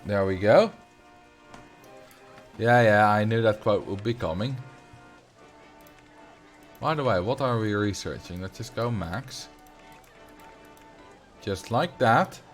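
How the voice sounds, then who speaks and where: A man's voice: fairly low pitch, slow, pauses often, soft background music. Dutch-accented man casually streaming a game. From Netherlands. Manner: chatty, reads and answers chat aloud. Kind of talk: casual livestream commentary while playing a game.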